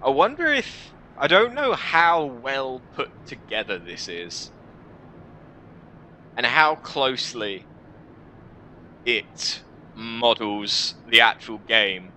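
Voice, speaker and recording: A man's voice: mid-range voice, sing-song, slow pace, pauses often, sharp tone, slight background noise, poor audio. A man hosting a gaming video, reading visual novel narration dramatically and adding casual commentary.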